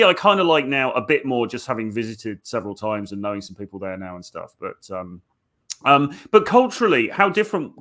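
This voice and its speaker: Guy interviewing a guest, asks slow careful questions, lots of yeahs. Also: mid-range voice, a bit bright. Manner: measured questions with many "yeah"s. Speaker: host, dating coach. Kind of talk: podcast interview.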